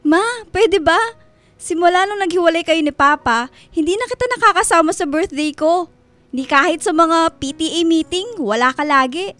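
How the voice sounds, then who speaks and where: An actress playing a wounded, bitter teenage daughter with a Filipino accent in a radio drama. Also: female voice, extremely high pitch, normal speed, a bit bright, no echo. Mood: angry, wounded. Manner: accusing, bitter, emotional.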